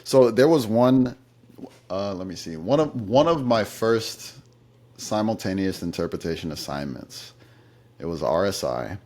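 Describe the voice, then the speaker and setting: A man's voice: low-pitched, expressive, unhurried, darkish tone. A man being interviewed about his work as an interpreter, telling anecdotes in a thoughtful, conversational way with some fillers. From United States. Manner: thoughtful storytelling, occasional fillers.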